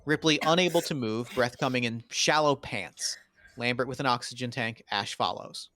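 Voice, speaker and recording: A man's voice: mid-range voice, normal speed, sharp tone, no room sound. A man reading screenplay stage directions in a dramatic table read.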